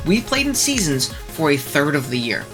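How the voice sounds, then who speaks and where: A man's voice: fairly high pitch, normal speed, music in the background. A young man hosting his channel, chatting casually and animatedly about viewer takes, a little stuffed up with a cold. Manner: chatty and animated, frequent ums and laughs. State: stuffed up, congested. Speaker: channel host.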